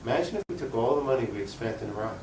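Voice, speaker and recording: A man's voice: medium pitch, animated, very fast. An opinionated man making an unscripted political argument as an interview guest. He speaks emphatically and leans on rhetorical questions. The recording is roomy and echoey, picked up at a distance from the mic, with faint noise. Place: room recording for a cable access show.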